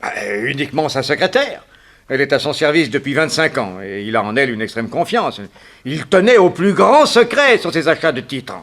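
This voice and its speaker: A man's voice: fairly high pitch, big pitch swings, normal speed, a bit bright. An actor playing an anxious, deferential businessman in a French radio drama, polite, earnest and a little flustered.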